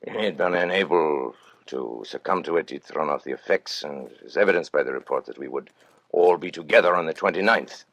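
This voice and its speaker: Radio-drama master criminal, very low voice, dry close sound, vain, suave, precise, cold-blooded. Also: male voice, fairly bright tone, dry recording, heavily acted. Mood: coolly recounting. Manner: pompous, precise, unhurried courtesy. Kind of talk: old-time radio suspense acting.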